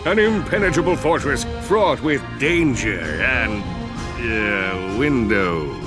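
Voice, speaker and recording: An actor voicing a vain, goofy, self-important space hero in a hammy video game style. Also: male voice, medium pitch, animated, slow, over music. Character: boastful, goofy, vain male space-hero sidekick schemer.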